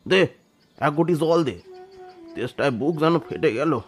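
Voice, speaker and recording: A man's voice: fairly high pitch, expressive, a little slow, breathy edge, faint music behind. A man playing a poor, weary peasant father in an audio drama, rustic and emotional, tender but hot-tempered. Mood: furious, scolding. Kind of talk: dramatised audio story acting.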